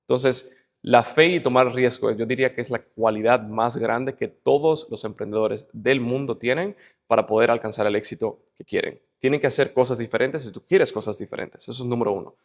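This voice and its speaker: A man's voice: medium pitch, a little fast. A man with a Dominican Spanish accent speaking as an interview guest in an emphatic, motivational way, often building points with lists and rhetorical questions. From Dominican Republic.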